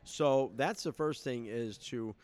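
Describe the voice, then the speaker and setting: A man co-hosting a casual podcast, talking in a halting way with many filler pauses. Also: medium pitch, darkish tone. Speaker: podcast co-host and fireworks importer. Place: convention hotel room.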